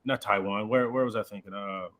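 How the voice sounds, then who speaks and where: A man's voice: lowish voice, fairly quick. Casual podcast host, easygoing man. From Central Africa, raised in Texas. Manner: casual, slangy, relaxed storytelling. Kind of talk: podcast conversation.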